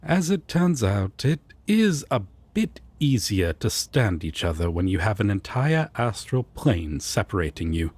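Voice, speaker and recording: A man acts out a devoted, prim angel roommate in an audio drama. His husky, lowish voice is formal and polite, measured and slow, turning haughty and indignant easily with a rival. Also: big pitch swings, a little mellow, no echo. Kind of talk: audio roleplay acting.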